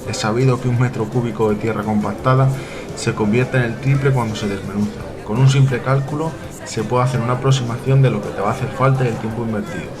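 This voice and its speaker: A man's voice: mid-range voice, steady tone, fast talker, crowd noise, lightly acted. A man playing a critical, matter-of-fact archaeology pupil explaining in a scripted radio soap. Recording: music behind the voice.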